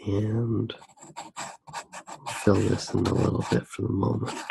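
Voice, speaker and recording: A man softly narrating an ASMR drawing tutorial close to the mic, hushed and unhurried, often pausing mid-sentence. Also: on the low side, little pitch movement, vocal fry, slight background noise. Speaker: ASMR artist, host.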